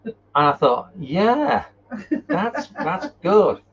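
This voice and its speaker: A man hosting a casual paranormal live chat and reading out viewer comments, talking in an informal, halting way. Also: sits high, big pitch swings, slightly rough. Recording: muffled, noisy live-stream audio.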